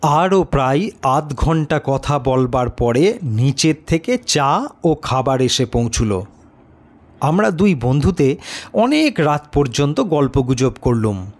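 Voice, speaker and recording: A man narrating a horror story in the first person, with an expressive, deliberate and suspenseful delivery. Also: mid-range voice.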